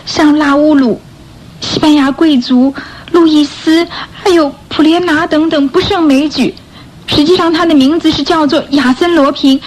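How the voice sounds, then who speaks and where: An actress plays a repentant young orphan girl in a radio drama. Her female voice is high, timid and hesitant, with an earnest, pleading manner. Faint noise sits behind her. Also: natural voice, rough recording.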